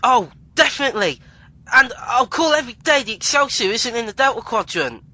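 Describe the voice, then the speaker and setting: Male actor: timid young son, bright and animated, soft and hesitant. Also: very high-pitched, brisk, full character voice. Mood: eager. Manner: hesitant, soft-spoken, then eager. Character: young man, timid, eager son of a commodore. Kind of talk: fan audio drama acting.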